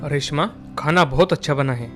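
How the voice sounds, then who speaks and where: A man's voice: on the high side, normal speed, fairly bright tone, soft background music, lightly acted. A man playing an earnest, principled young well-off suitor and son in a story, speaking firmly and sincerely. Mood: pleased. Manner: earnest, firm. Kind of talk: Hindi moral story dialogue.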